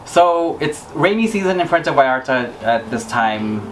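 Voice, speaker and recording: A man's voice: on the high side, very animated, moderate pace, far from the mic. A man whose first language is Cebuano, co-hosting a casual travel chat. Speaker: travel podcast co-host. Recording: off-mic, guitar music behind. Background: acoustic guitar music.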